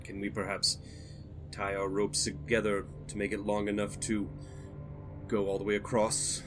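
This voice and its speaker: A man's voice: fairly low pitch, monotone, normal speed. A man talking as a player in a tabletop roleplaying game, casual and questioning as he checks details. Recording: music behind the voice. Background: background music.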